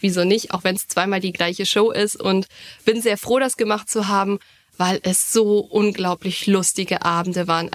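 A woman co-hosting a podcast, chatting casually and telling anecdotes in a fluent, enthusiastic way. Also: mid-range voice. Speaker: podcast co-host.

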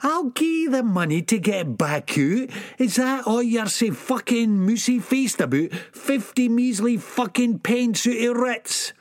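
A man's voice: extremely high pitch, expressive, measured pace, hardly any pauses, dry recording, studio quality, pitched above the performer's own voice. A man with a Scottish accent voicing a frantic, desperate young male junkie who snarls and swears nonstop. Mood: desperate, aggrieved. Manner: snarling, cursing constantly.

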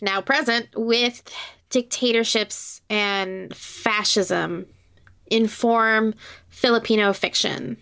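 A woman's voice: fairly high pitch, steady tone, slow pace, vocal fry, a bit bright, clear, resonant tone. A woman hosting a casual podcast interview, asking questions and responding to her guest.

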